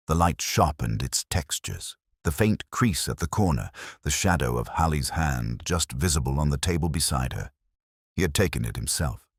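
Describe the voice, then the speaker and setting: A man narrating a detective audio drama in the first person as a weary, introspective inspector, with a measured, literary and wry manner. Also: extremely low pitch, no room sound, clean studio sound. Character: weary, introspective male English police inspector, middle-aged.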